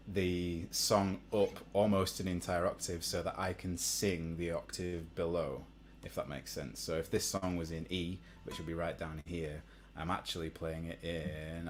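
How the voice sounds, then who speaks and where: Deep-voiced man chatting casually. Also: a little hiss or hum. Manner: relaxed, rambling with many ums. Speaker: musician hosting a live stream. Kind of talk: casual chat to viewers between songs. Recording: faint guitar behind.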